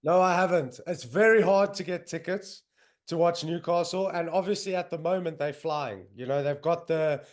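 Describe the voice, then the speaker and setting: A man's voice: on the high side, normal speed. A young man with a South African accent, hosting a podcast in loose, enthusiastic casual chat with lots of fillers. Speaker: podcast host. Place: home in northern England, on a video call.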